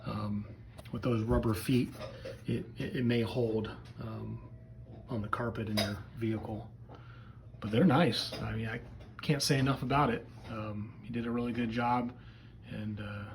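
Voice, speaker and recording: A man's voice: on the low side, fairly even intonation, a little slow, vocal fry, pauses often, background noise. A man giving a casual, unscripted hobby product demo in a hesitant, rambling, plain-spoken way. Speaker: hobbyist video presenter.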